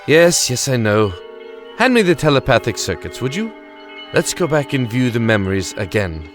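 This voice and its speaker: Audio drama lead: composed, wry male ruler and narrator, played by a man, medium pitch, measured delivery. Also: big pitch swings, soft background music, close to an everyday voice. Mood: wry command. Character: composed, wry male time-travelling lord recalling his past.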